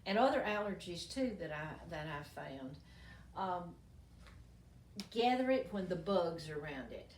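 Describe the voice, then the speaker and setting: A woman's voice: lowish voice, sing-song, measured pace, a little mellow, slight background noise. Older woman, folksy, echoey room from afar. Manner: folksy, rambling, many ums and restarts. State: slightly hoarse, losing voice. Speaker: herbal medicine demonstrator. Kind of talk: casual show-and-tell explanation. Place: museum's old doctor's office, indoors. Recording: echoey room, mic at a distance.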